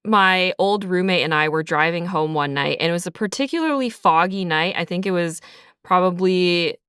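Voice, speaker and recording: Lower-voiced woman, smooth and clear. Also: normal speed, fairly bright tone, no room sound, clean studio sound. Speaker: writer and creator of an audio drama. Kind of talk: casual interview answers.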